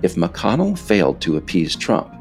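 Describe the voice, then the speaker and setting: An American man reading scripted political narration in a measured, emphatic, explanatory way. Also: low-pitched, huge pitch swings, darkish tone, soft background music. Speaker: author narrating his audiobook episode.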